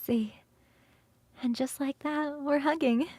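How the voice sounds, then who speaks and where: Woman acting as a high-voiced, cuddly succubus roommate. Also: steady tone, dry recording. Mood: soft, intimate. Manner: coaxing, sighing, drawn-out savouring, shifting into soft whispers. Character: playful, hungry, cuddly young succubus roommate, affectionate and teasing. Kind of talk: ASMR roleplay.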